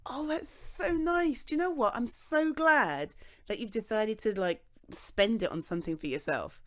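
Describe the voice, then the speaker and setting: A young British woman speaking to a friend in an intimate ASMR roleplay, chatty and reassuring, with frequent soft laughs. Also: on the high side, moderate pace. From Britain.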